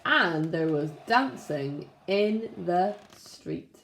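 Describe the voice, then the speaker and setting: A woman with an animated, unhurried delivery talks to young children as a primary teacher would at story time. Her pitch moves up and down freely, and the room adds a noticeable echo. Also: slight background noise. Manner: expressive, addressing young children. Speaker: primary school teacher reading to class. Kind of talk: children's storybook read-aloud. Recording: roomy, echoing room sound.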